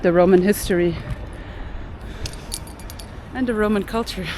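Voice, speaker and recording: A woman narrates a walking vlog in a mid-range voice, earnest and argumentative. Steady traffic and street sound sits clearly under her words. The delivery is casual but insistent. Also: medium pitch, measured pace. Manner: earnest, argumentative, explains with figures. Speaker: travel vlogger, co-host. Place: outdoor city street. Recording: street traffic noise behind.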